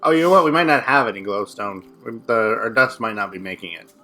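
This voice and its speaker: Man, young adult, lowish pitch, bright edge, casual game commentary, thinking aloud, faint music. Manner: rapid thinking aloud while building. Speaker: co-host playing a modded game.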